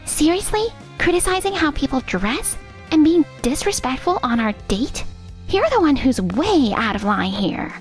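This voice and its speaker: A woman's voice: sits high, big pitch swings, moderate pace, faint music behind. A woman playing a blunt, fed-up young girlfriend in a manga dub, with an exasperated, dramatic manner. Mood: outraged, scornful. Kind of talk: animated manga dub dialogue.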